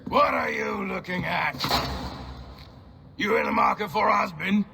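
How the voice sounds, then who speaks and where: Shouting, gravelly prison bully. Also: male voice, high voice, unhurried, noisy background, exaggerated voice. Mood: jeering. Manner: shouting, taunting. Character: aggressive, jeering male prison bully. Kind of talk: dramatic game cutscene acting.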